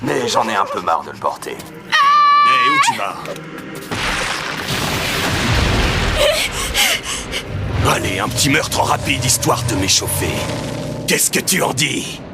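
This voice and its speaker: An actor playing a hulking, sadistic villain in a French anime dub, mocking and falsely friendly with a deep, forceful voice. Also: male voice, huge pitch swings, normal speed, over loud music. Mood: menacing glee. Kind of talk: French-dubbed anime acting.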